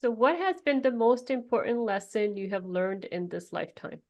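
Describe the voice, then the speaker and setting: A woman's voice: on the high side, expressive, moderate pace, a little mellow. A woman hosting a podcast, asking and following up in a conversational interview style. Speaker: podcast host.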